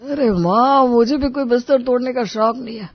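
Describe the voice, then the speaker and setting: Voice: mid-range voice, moderate pace, dry recording, close to an everyday voice. A performer voicing a sulky, hot-tempered young married man quarreling with his mother and wife, in a dry, dull lo-fi recording. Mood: complaining. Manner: sulky, irritable.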